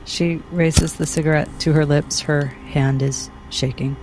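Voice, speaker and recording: A woman playing a weary, shaken spaceship doctor in a cinematic tabletop roleplay, quiet and restrained. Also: sits low, fairly even intonation, a little slow, poor audio. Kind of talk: cinematic tabletop roleplay with third-person narration. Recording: music clearly behind the voice. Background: music.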